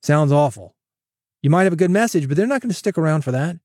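A man hosting a solo podcast, coaching listeners in an energetic, direct way on a broadcast mic. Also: on the high side, fast talker, husky, no echo, studio quality. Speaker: podcast host. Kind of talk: solo podcast advice with sponsor read. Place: home studio, processed dry voice. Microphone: studio broadcast dynamic mic.

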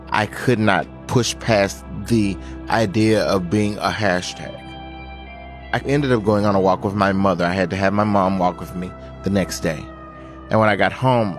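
A man from Nashville with a low voice tells a personal story in a radio documentary style. He speaks as the subject of the piece, with music clearly playing underneath. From Nashville, United States. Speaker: interviewee telling own story. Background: music bed.

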